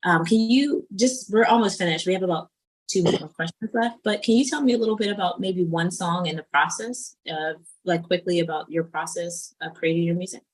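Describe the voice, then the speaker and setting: A woman's voice: fairly low pitch, fairly quick, poor audio. A woman in her late thirties hosting a casual podcast interview, chatty and self-deprecating, with lots of "um"s. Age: 30s. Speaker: podcast host.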